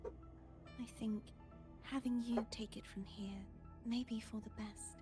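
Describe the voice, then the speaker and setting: Soft, high, sorrowful young woman. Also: steady tone, slow. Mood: sorrowful, earnest. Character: gentle, sorrowful young woman, earnest and self-sacrificing. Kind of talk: dramatic game voice acting. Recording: music behind the voice.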